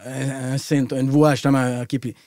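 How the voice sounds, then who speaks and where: A man in his late thirties with a Québécois accent, a guest musician talking casually on a podcast, intense and trailing off softly at the ends of phrases. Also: medium pitch, flat delivery, normal speed, no room sound, clean studio sound. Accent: Québécois French. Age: 30s.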